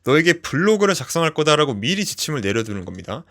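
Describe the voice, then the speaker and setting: A man with a slightly high voice presents a tutorial. His intonation rises and falls in a very lively way as he walks through the material step by step, keeping the explanations simple. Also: fast talker. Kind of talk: instructional screen walkthrough, explanatory.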